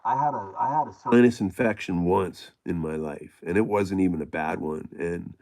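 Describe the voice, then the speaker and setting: A middle-aged man with a gravelly voice, hosting a casual livestream and chatting with viewers in a rambling way full of fillers and restarts. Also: low voice, moderate pace, a little mellow. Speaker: livestream host reading chat.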